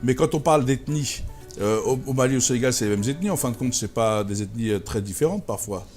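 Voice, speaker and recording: Fast-talking male interview host. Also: mid-range voice, expressive, darkish tone, faint music behind. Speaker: talk show host. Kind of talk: conversational interview.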